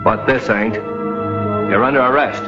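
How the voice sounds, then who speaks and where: A plain-spoken Old West lawman with a lowish voice and folksy diction. He is humble and smitten, heard on a muffled vintage western soundtrack with music behind him. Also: fairly even intonation, takes it slowly. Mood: firm, official. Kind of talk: old-time western television drama acting.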